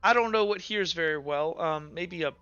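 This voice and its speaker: Man playing a shy, stammering, bright-voiced teenage boy. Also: fairly high pitch, moderate pace, clean tone, dry recording. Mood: earnest, awkward. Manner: hesitant, stammering, sheepish. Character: shy, scarred teenage boy, earnest and guarded. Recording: dull audio with music.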